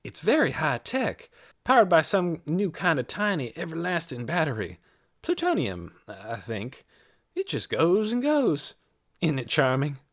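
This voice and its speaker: A narrator playing a brisk, preachy older busybody woman. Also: medium pitch, huge pitch swings, no room sound, studio quality, voice pushed up, heavily acted. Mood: cheerful. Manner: preachy, emphatic, folksy. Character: brisk, cheerful, self-righteous older woman, stern town busybody. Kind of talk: audiobook character voice.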